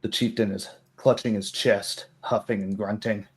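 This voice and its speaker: A man narrating a tabletop roleplay game as game master, telling it in a measured, descriptive way. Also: on the low side, level tone.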